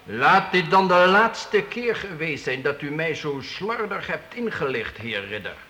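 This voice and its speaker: A commanding medieval count holding court. His voice is fairly high and bright, swinging widely as he gives stately, formal judgements in a radio drama with faint background noise. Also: big pitch swings, measured pace, long reverb tail, full character voice. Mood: stern, probing. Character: imperious but fair-minded medieval count holding court. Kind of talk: Dutch historical radio play acting. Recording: faintly noisy old radio play.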